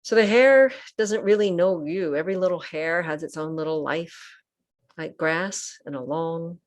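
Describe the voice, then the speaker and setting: A woman leading a guided meditation as a teacher, speaking gently in short, unhurried phrases with pauses. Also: lowish voice. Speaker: Buddhist nun and meditation teacher. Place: online group session over video call.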